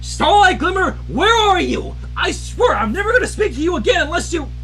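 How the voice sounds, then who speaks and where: An angry young male unicorn, whiny and self-important, played by a story reader. His voice is pitched high and swoops wildly, as in a read-aloud cartoon fan story. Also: big pitch swings, a little fast, fairly bright tone, soft background music, heavily acted. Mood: furious. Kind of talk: dramatic fan fiction reading.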